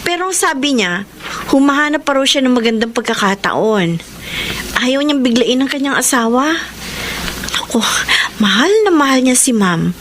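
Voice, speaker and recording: Deferential young employee, high voice, polite, devoted, radio drama, faint noise. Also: female voice, expressive, moderate pace, a bit bright. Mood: respectful. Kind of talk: Filipino radio-style drama.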